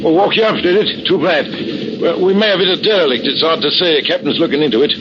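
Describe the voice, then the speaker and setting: An actor playing a deferential, chatty British navy sailor with a British accent in 1940s radio style. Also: male voice, fairly high pitch, fast, background noise, lightly acted. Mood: soothing. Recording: muffled old radio, music behind.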